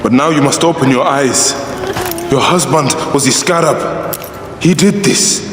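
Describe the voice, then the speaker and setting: An actor playing a grave, solemn avenging warrior in a video game, speaking in a measured, admonishing way. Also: male voice, medium pitch, lightly acted. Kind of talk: dramatic video game acting. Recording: echoey reverberant space, background noise.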